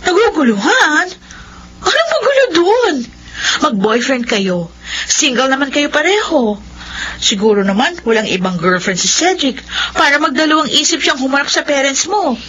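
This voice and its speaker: A woman's voice: sits high, sing-song, normal speed, fairly bright tone, a little hiss or hum, rough recording. A woman playing a blunt, indignant young best friend in a radio drama, scolding and exasperated. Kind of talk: Filipino radio drama acting.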